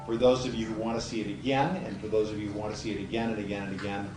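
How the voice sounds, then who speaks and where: A man's voice: lowish voice, flat delivery, rapid-fire, music in the background. A man hosting a screening event, giving an introduction speech with emphatic repetition. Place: event hall before an audience. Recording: roomy, noisy hall, far from mic.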